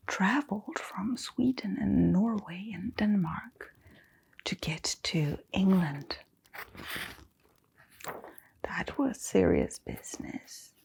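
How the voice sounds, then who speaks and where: A woman playing a welcoming, gentle old-bookshop keeper in an ASMR roleplay, speaking in a soft, unhurried whisper with little hums and pauses. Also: mid-range voice, a little hiss or hum. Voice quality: soft breathy whisper with creak.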